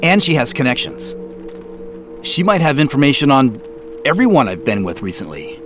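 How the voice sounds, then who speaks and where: A man's voice: mid-range voice, sing-song, moderate pace, music in the background, natural voice. An actor playing an anxious, self-blaming man in a naturalistic audio drama. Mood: alarmed, regretful. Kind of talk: naturalistic audio drama acting with first-person narration.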